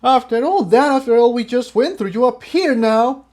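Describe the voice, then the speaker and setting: A man's voice: extremely high pitch, moderate pace, a little mellow, pitched above the performer's own voice. A man voicing an anguished, bitter young male pony in an indignant, overwrought way, as in an audio storybook. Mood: despairing, angry. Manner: indignant, pleading, emotionally overwrought.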